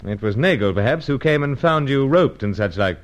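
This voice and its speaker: An actor playing an unflappable, wry secret agent and narrator in an old-fashioned radio spy serial, with clipped, polite speech. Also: male voice, medium pitch, expressive, fairly quick, muffled recording, natural voice. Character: unflappable British secret agent, breezy and dryly cheeky.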